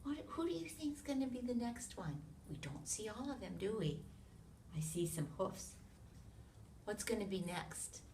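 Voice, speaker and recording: An American woman reads a picture book aloud to young children in an expressive way, with sound effects, questions and hushed asides to her listeners. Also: mid-range voice, normal speed. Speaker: children's read-along reader. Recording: roomy, distant mic with noise.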